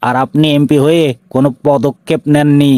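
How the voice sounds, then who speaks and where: A man voicing a blunt, sarcastic, menacing police inspector in a cartoon, with a Bangladeshi accent and an officious, deliberate manner. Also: a little high, fairly even intonation, dark tone, a little hiss or hum, natural voice. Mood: accusing. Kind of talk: cartoon dialogue.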